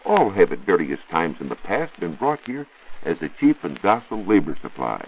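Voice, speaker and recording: A man's voice: deep voice, wildly swooping pitch, normal speed, a little hiss or hum. An American man working as a science reporter, explaining in a formal, didactic way in a scripted 1940s educational radio talk. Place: radio studio. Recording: muffled 1940s radio recording.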